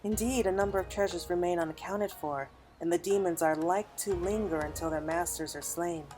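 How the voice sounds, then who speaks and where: A woman voicing a courteous lady retainer from a fantasy game, speaking in measured, archaic, formal phrases. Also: on the low side, warm tone, close to an everyday voice. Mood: formal, composed. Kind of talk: reading game cutscene dialogue aloud. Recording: game music behind the voice.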